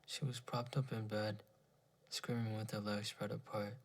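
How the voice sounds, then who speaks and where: A man with a gravelly, husky voice reading a first-person horror story in a brooding, dramatic, deliberate way. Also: lowish voice, flat delivery.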